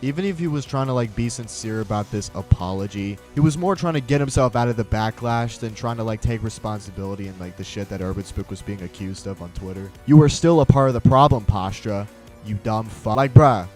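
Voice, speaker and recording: A man's voice: on the low side, brisk, a little mellow. A man giving a casual, profane opinion rant as a video commentator, sarcastic and blunt, with lots of slang. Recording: music behind the voice. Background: background music.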